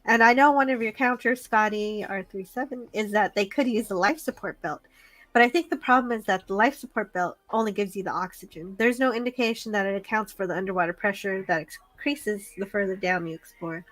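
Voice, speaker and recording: A woman's voice: medium pitch, brisk, fairly bright tone, no room sound. A woman co-hosting an online review stream, casually recapping and discussing an episode.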